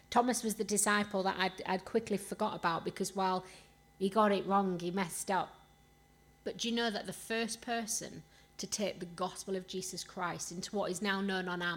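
A woman from Rotherham with a Yorkshire accent preaches an earnest sermon with personal stories, rhetorical questions and emphatic repetition. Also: medium pitch. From Rotherham, Yorkshire, England.

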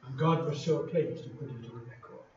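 An older man from England, a retired pastor, preaching in a slow, deliberate and emphatic way with many pauses. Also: mid-range voice, darkish tone. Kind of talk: sermon. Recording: echoey hall from far off, dull lo-fi.

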